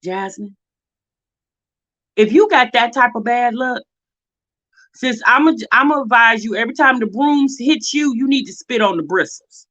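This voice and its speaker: A woman's voice: a little high, expressive, normal speed, long pauses, a bit bright, lo-fi sound. An American woman giving casual, opinionated commentary as a reaction video host, blunt and sassy, often exasperated and firing off rhetorical questions.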